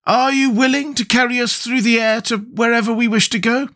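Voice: medium pitch, fairly even intonation, brisk, fairly bright tone, pitched above the performer's own voice, natural voice. A reader voicing a kindly, proud storybook scarecrow who talks in an encouraging way. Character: kindly, proud Scarecrow, cheerful sociable leader.

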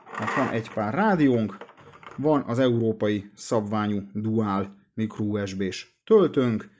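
A man's voice: lowish voice, noisy background. A man presenting a casual, hands-on product unboxing and walkthrough.

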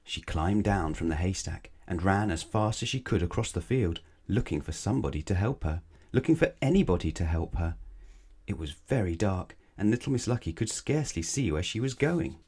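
A man's voice: low voice, expressive, normal speed, darkish tone, husky. A man reading a children's bedtime story aloud, dramatically, stretching and stressing words. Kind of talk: expressive read-aloud bedtime narration.

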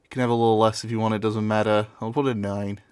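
A man talks through a software tutorial in a casual, offhand manner. His voice is recorded dry and close, with hardly any room around it. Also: fairly low pitch, level tone, fast talker, clean tone, no echo. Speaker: tutorial presenter.

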